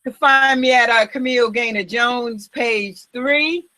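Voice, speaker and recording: A woman from Queens, New York, a drummer and guest, talking in quick, scattered bursts. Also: on the high side, bright, forceful tone. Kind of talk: casual chat.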